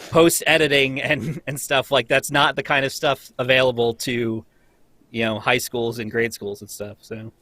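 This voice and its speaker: A man's voice: mid-range voice, fairly even intonation, normal speed, bright, forceful tone, no echo. An American man who teaches college, chatting casually in a group conversation.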